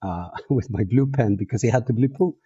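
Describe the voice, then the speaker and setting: A man's voice: mid-range voice, brisk, dark tone, breathy edge, no echo. A man in his forties from Colombia with a Colombian accent, a podcast guest talking in a reflective way with restarts and easy laughs. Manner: reflective, frequent restarts and fillers, laughs easily. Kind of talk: casual interview chat. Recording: dull, muffled audio.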